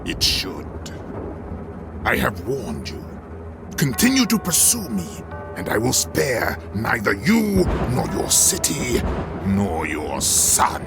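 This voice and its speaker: An actor playing a menacing, mocking Cyclops villain in a video game, with an ominous, theatrical delivery. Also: male voice, lowish voice, wildly swooping pitch, slow, creaky, over loud music, full character voice. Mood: threatening.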